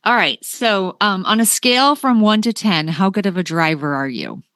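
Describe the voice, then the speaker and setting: A woman's voice: medium pitch, normal speed, fairly bright tone, dry recording, clean studio sound. A woman hosting a casual conversational interview in an agreeable manner. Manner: agreeable, frequent short affirmations. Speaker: interview host.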